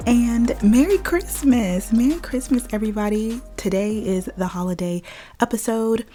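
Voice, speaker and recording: A woman hosting a podcast in a casual, chatty monologue, her voice slightly raspy from a scratchy throat, laughing often between thoughts. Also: fairly high pitch, moderate pace, warm tone, faint music behind. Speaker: podcast host.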